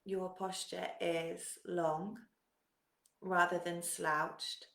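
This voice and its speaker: A woman leading a guided meditation in a calm, soothing manner, giving gentle instructions with long pauses. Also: lowish voice, gravelly, echoey room.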